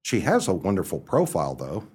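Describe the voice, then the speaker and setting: Flighty young english toff in a radio play, lowish husky voice, chatty and swoopy. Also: male voice, huge pitch swings, brisk, a little mellow, exaggerated voice. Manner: chatty, breezy, theatrical upper-class phrasing. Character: flighty well-off young English gentleman bachelor, amiable but muddled. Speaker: narrator and lead character. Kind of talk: radio play acting, storytelling narration.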